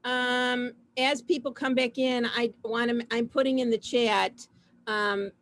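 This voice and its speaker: A woman casually hosting an online community gathering over a video call, chatting informally in a halting way with trailing asides. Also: fairly high pitch, steady tone, a bit bright. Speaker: host of an online community program. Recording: noisy video call audio.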